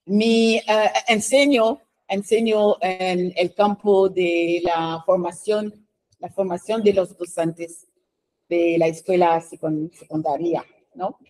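Slow, hesitant woman from Haiti. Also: lowish voice, steady tone, darkish tone, rough recording. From Haiti, raised in Montreal, Canada. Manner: slow, hesitant, frequent fillers and self-corrections, laughs, tag questions. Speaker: professor speaking at a seminar. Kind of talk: informal self-introduction. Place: meeting room, indoors. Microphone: handheld microphone.

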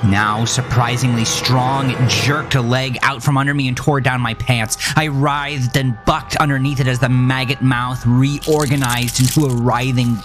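A man narrating a scripted first-person horror story in an intense, dramatic, deliberate way. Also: medium pitch.

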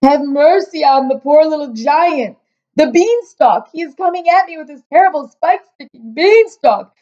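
Woman voicing a screeching, terrified brute giant, high-pitched. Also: animated, moderate pace, mellow, lo-fi sound. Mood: desperate pleading. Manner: screeching, bellowing, pleading. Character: huge brutish male giant, terrified, screaming in a nightmare. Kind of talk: storybook character voice.

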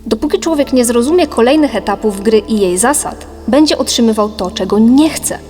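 A woman reading a scripted philosophical audiobook in a measured, didactic manner. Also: a little high, expressive, darkish tone, natural voice. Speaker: audiobook narrator. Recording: long reverb, music behind. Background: music.

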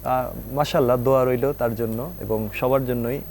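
A man's voice: medium pitch, fairly even intonation, normal speed, creaky, darkish tone. Hesitant Bangladeshi man, faint noise. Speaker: guest commentator on a TV show. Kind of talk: unscripted spoken feedback.

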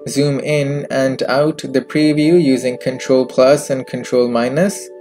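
A man presenting a software tutorial in scripted narration, recorded as a close voice-over with music behind him. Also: medium pitch, flat delivery, a little slow. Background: background music.

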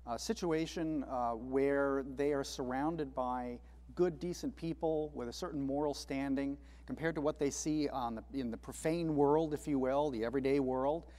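A man's voice: mid-range voice, normal speed, mellow, long reverb tail. A man speaking reflectively on a panel discussion, acting out imagined dialogue as he explains. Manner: reflective, acts out quoted dialogue.

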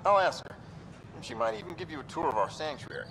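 A man's voice: a little high, sing-song, quick pace, bright, forceful tone, slight background noise, low-quality recording. An actor playing an upbeat, chatty male hacker recruiting for his movement in a video game. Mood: friendly.

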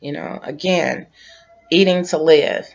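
A woman in her late forties chatting casually to camera from her living room couch, relaxed and rambling, repeating herself as she talks. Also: low-pitched, takes it slowly, creaky. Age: 40s. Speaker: vlogger. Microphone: webcam. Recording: dull lo-fi webcam audio.

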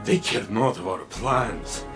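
An actor playing an anguished robot warrior who doubts his own existence, speaking slowly and solemnly with a gravelly voice. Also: lowish voice. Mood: anguished, halting. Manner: slow, halting, solemn. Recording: music behind the voice.

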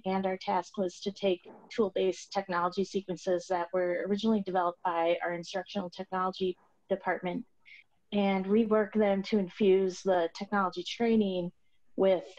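A woman giving a conference presentation over a video call, explaining her team's work in a steady way with frequent ums and uhs. Her voice has a middling pitch, and the call audio comes through slightly dull and lo-fi. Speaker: award recipient presenting a project.

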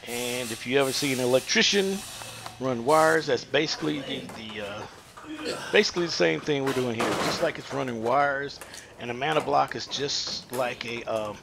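A man working as a plumber, explaining a pipe installation in an unfinished house, pausing often with fillers. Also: mid-range voice, gravelly, background noise. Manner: halting, many "uh" fillers. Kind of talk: hands-on how-to explanation. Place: unfinished house under construction, indoors.

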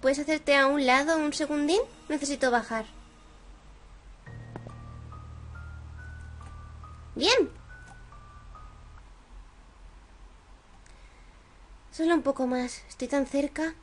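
A woman's voice: high voice, fairly even intonation, moderate pace, a bit bright, soft background music. A woman with an accent from Spain voicing an eager, bossy young girl in a game, impatient to escape. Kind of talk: acting out game dialogue.

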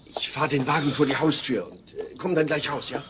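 A man's voice: fairly high pitch, fairly quick, a crowd in the background, lightly acted. A man playing a patient, long-winded suburban father in a German audio drama, with a formal, wordy manner. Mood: careful. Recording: faint background noise.

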